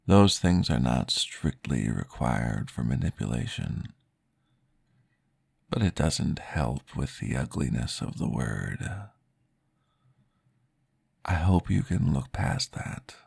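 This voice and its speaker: A man voicing a courteous male vampire guiding a therapy session in a formal, polite, soft-spoken ASMR roleplay, recorded close to the mic. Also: rumbling low, very animated, slow, creaky, long pauses, slightly rough, no room sound, full character voice. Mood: calm, courteous.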